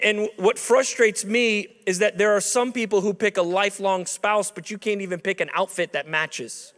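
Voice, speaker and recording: A man in his thirties preaches from a lectern on a large, dark auditorium stage, talking to the crowd in a conversational way that climbs into emphatic peaks. His voice sits high for a man. The handheld mic carries the long reverb of the big hall. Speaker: chapel speaker. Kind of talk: sermon. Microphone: handheld microphone.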